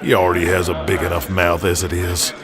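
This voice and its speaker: A man's voice: sits low, level tone, fairly quick, over music, voice pushed down, close to an everyday voice. A man voicing an insistent, persuasive male wrestling booker in an audio drama. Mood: urging, resigned.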